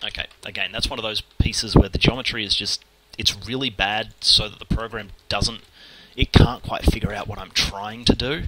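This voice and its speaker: A man with an Australian accent talking fast and casually through a screen-recorded modelling tutorial, muttering at the software as he works. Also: on the low side, fairly even intonation, heavy background noise. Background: keyboard typing and mouse clicks.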